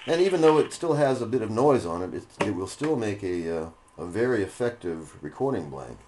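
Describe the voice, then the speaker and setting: A man with a fairly low voice talks through a hands-on demonstration in an unhurried, explanatory way. He is recorded indoors on a camera mic, with some machine noise around his voice. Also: big pitch swings, darkish tone. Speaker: hobbyist demonstrator, host. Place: indoor hobby room. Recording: noisy room, machine noise.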